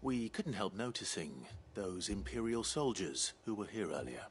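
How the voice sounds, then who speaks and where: An actor playing a solemn, courteous and determined traveler in a fantasy video game, with a measured, earnest delivery. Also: male voice, sing-song, slight background noise. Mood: measured, serious. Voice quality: deep, low. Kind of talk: scripted video game acting.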